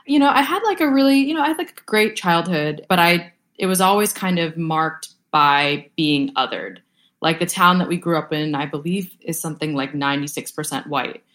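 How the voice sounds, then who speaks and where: Young woman, Boston area, low pitch, chatty interview guest, many fillers. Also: expressive, moderate pace, a bit bright. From Boston area, USA. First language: Vietnamese. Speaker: guest, food brand co-founder. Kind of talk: casual interview conversation.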